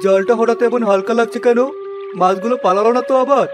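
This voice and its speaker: Guy doing a worried village fisherman in a cartoon, high voice, little music under it. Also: steady tone, quick pace. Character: village fisherman, longtime river man, anxious companion. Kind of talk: animated story dialogue.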